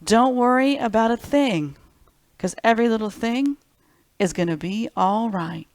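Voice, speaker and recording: A woman's voice: a little high, lively intonation, measured pace, darkish tone, no echo. A woman hosting a children's library storytime, reading picture books expressively and speaking simply and directly to young listeners.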